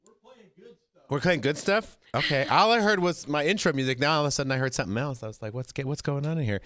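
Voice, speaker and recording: A hoarse man hosting a studio talk show, chatting casually with a guest in a chatty, self-deprecating way. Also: a little high, fast, fairly bright tone, soft background music. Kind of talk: casual chat.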